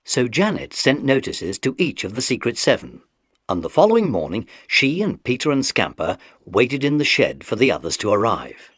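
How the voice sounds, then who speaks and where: A man narrating a children's story from a script with an expressive storyteller's delivery. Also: medium pitch, normal speed, dry recording.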